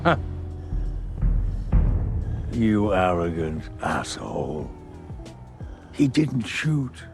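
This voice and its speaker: An actor playing a grim man in a film scene, speaking slowly and with scorn over a film score. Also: low voice, big pitch swings, over loud music, heavily acted. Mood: scornful. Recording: film clip under loud score.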